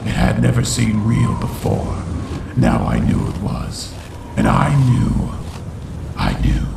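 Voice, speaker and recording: A man playing a brooding unicorn villain who solemnly narrates his tormented youth in a gravelly voice, as in a dramatic comic dub. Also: lowish voice, huge pitch swings, slow pace, reverberant, recorded from a distance, heavy background noise, exaggerated voice. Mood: ominous, regretful. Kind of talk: scripted first-person dramatic narration.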